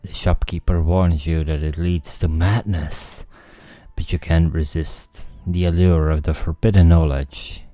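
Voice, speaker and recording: A man's voice: deep voice, little pitch movement, measured pace, mellow, no echo. A man streaming a game, thinking aloud and reading the story text himself. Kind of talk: casual game commentary with read-aloud narration. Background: faint music.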